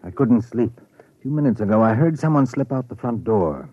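An actor playing an uneasy, inquisitive doctor in a classic radio drama, with a clipped, wary delivery. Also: male voice, medium pitch, expressive, moderate pace, warm tone, slightly rough. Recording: tinny, dry old radio broadcast recording.

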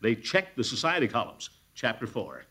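An actor playing a pompous, blustering middle-aged amateur detective in a 1940s radio comedy. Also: male voice, medium pitch, wildly swooping pitch, normal speed, pushed and bright, breathy edge, full character voice. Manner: blustering, chuckling, self-important.

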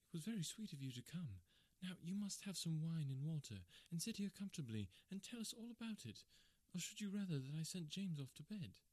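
A man's voice: a little high, very animated, fairly quick, hardly any pauses, a little mellow, no echo, pitched above the performer's own voice. A man voicing a kind young wife who soothes a distressed old friend in a storybook reading. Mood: soothing. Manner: soothing, motherly.

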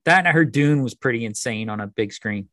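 A man's voice: medium pitch, animated, a little fast, a bit bright, dry recording, clean studio sound. A man co-hosting a podcast, talking casually and a little tentatively about films. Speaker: podcast co-host.

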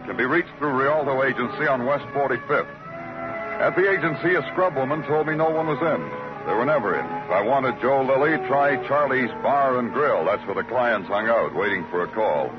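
A man's voice: medium pitch, expressive, normal speed, natural voice. An actor playing a terse, world-weary police detective in an old radio crime drama, clipped and probing as he narrates and questions. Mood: somber, hard-boiled. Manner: clipped, hard-boiled, probing. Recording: muffled vintage radio recording. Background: music.

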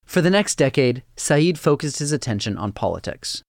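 A man's voice: fairly high pitch, normal speed, gravelly, no room sound. Dry-voiced male documentary narrator. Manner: steady, even reading.

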